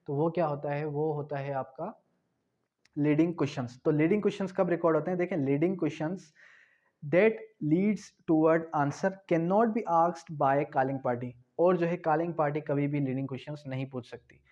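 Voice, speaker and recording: Male law tutor, higher voice, drills points through questions; muffled low-fi recording. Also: a little mellow. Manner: explains with rhetorical questions and repetition. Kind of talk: exam-prep law lecture. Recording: dull lo-fi recording.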